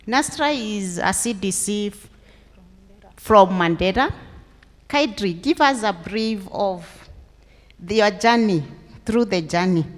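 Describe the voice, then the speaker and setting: A woman with a Kenyan accent moderating a panel in deliberate, short phrases. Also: mid-range voice. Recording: echoey hall with long reverb.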